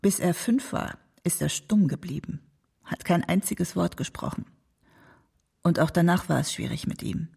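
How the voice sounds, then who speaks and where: A worried, husky old mother. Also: fairly low pitch, mellow, exaggerated voice. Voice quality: husky, creaky. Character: worried elderly mother recalling her troubled son. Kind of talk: radio drama monologue.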